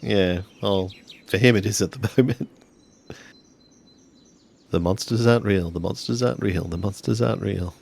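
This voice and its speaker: A man improvising in a casual tabletop role-playing game, speaking as his character and narrating in a weary, halting way. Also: on the low side, vocal fry, darkish tone, husky.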